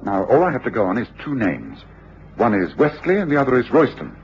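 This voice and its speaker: An actor playing a shrewd, commanding British gentleman detective in a radio drama, speaking precisely and with authority. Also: medium pitch, huge pitch swings, brisk, vocal fry. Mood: brisk, purposeful. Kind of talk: 1950s-60s radio drama acting. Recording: dull, hissy old radio recording.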